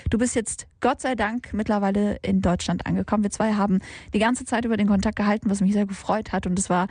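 A woman's voice: medium pitch, steady tone, fast talker, no echo. German radio host woman, dry studio. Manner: warm conversational, many fillers. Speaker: radio talk show host. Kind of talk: radio interview.

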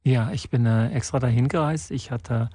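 A man born in Portugal and raised in the Netherlands, guesting in a radio studio and answering haltingly with many restarts and fillers. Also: mid-range voice, dark tone, husky, no room sound. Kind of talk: radio interview.

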